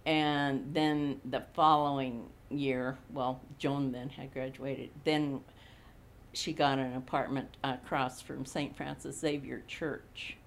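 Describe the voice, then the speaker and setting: Older woman, 80s, low voice, slow recollection, oral history interview. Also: vocal fry, slight background noise. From Montana. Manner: slow, unhurried recollection with frequent pauses. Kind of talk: reminiscing in a recorded interview.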